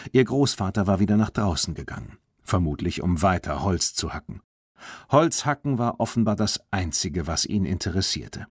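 A man narrating a children's story as a scripted audiobook, in an expressive storytelling manner. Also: lowish voice, slightly rough, dry recording, clean studio sound.

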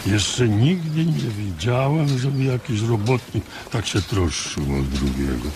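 A stern ranch boss in a radio drama, low-voiced and probing. He speaks slowly with expressive swings, suspicious and in charge, with birds in the background. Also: noisy background. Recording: outdoor ambience with birdsong.

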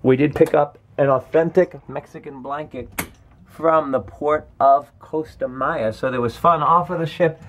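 A man's voice: fairly high pitch, fairly even intonation, unhurried, slight background noise. A man behind the camera hosting a travel vlog, narrating and asking leading interview questions in a small cabin. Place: small ship cabin, indoors. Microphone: camera mic, behind the camera.

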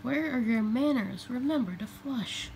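An eager, determined young gingerbread man read by a woman speaks in storybook rhyme. The pace is slow and there is slight noise in the home recording. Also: a little high, creaky, a little mellow, voice pushed up, rough recording. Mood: determined, indignant.

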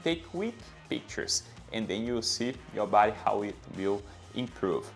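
A man's voice: fairly low pitch, lively intonation, unhurried, gravelly, faint music behind. Brazilian-accented man coaching posture exercises. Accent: Brazilian Portuguese. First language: Portuguese. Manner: coaching, counts reps aloud, non-native grammar. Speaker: fitness trainer. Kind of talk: exercise instruction to camera. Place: bright indoor room with anatomy posters. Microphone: clip-on lapel mic.

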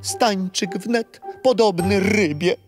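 An actor playing a mocking court jester who speaks in theatrical singsong rhymes for a children's radio play. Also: male voice, high voice, takes it slowly, over music.

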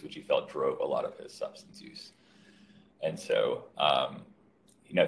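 A middle-aged man, a physician, presenting a clinical case on a video call in a measured, slightly hesitant way. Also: rumbling low. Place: indoor room on a video call.

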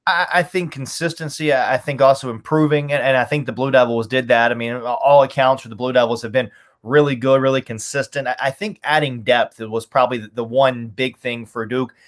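A man's voice: medium pitch, steady tone, fairly quick. A man in his thirties talking through team analysis conversationally as a sports announcer on a video call, stressing points by repeating words. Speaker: radio play-by-play announcer, podcast guest. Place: small home room, indoors. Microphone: video call, webcam framing.

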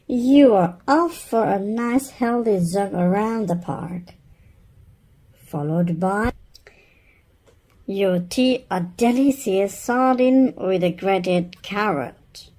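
Woman voicing a bossy, husky mother elephant. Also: mid-range voice, takes it slowly, warm tone, poor audio. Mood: bossy, brisk. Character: bossy, stern, health-minded mother elephant.

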